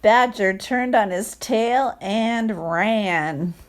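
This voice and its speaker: A woman reading a picture book aloud to children, expressive, with chatty asides and questions to listeners. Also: medium pitch, extremely slow. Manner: expressive, acts out sound words, asks listeners questions. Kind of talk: animated read-aloud storytelling with chatty asides.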